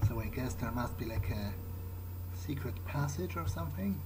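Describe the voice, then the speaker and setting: A man's voice: mid-range voice, lively intonation, normal speed. Musing male gamer, noisy game ambience. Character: self-assured vampire thinking aloud. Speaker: gamer roleplaying in character. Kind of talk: in-character gameplay commentary, thinking aloud. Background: video game ambience.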